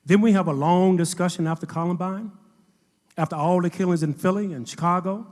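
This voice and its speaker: A man's voice: high-pitched, steady tone, fairly quick, darkish tone, natural voice. A man playing a bitterly sarcastic narrator delivering social criticism in an audio drama. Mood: bitterly weary. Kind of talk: scripted audio drama monologue.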